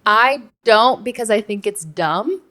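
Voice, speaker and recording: A young woman co-hosting a podcast in casual conversation, animated and given to acting out asides. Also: a little high, unhurried. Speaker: podcast co-host.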